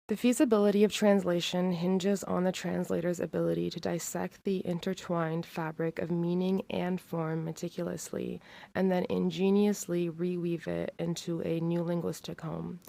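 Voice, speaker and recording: Flat, gravelly woman narrating. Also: on the low side. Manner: measured, formal reading. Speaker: podcast host and narrator. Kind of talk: scripted documentary narration.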